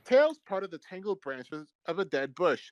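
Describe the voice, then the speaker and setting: A man narrating a story aloud in a dramatic, mock-serious way, with theatrical, drawn-out phrasing. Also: sits high, big pitch swings, darkish tone, no room sound, highs cut off. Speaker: narrator reading fan fiction aloud with friends. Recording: music behind, deliberately old-fashioned microphone sound.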